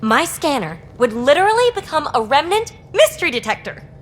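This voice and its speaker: A woman playing an excitable, informal, geeky young scientist in a video game. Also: high-pitched, a little fast, faint music behind. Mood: eager. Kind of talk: video game dialogue acting.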